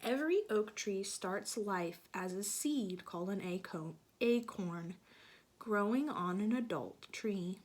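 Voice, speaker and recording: A woman teacher reads a children's picture book aloud at an unhurried pace. Her voice sits at a middle pitch. She pauses often to explain things patiently and ask the little listeners questions. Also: expressive.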